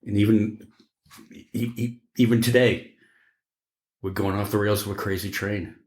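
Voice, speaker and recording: Deep-voiced man in echoey room. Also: fairly even intonation, unhurried, darkish tone. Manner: opinionated, profane. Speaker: podcast co-host. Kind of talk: casual chat.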